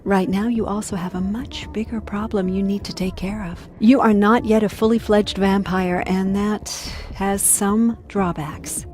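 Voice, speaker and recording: Lady acting a cool confident vampire mentor, medium pitch, measured and kinda formal, game cutscene, soft music behind. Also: fairly even intonation, close to an everyday voice. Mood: composed, instructive. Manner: measured, self-assured, slightly formal. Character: poised, knowing female vampire mentor, cool and assured.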